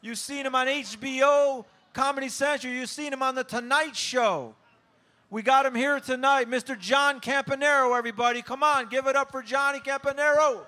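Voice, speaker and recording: A man's voice: extremely high pitch, steady tone, normal speed, bright, forceful tone. A man hosting a live comedy show, hyping the crowd and introducing acts with repeated short phrases. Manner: hyped, repetitive. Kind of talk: live stage announcing.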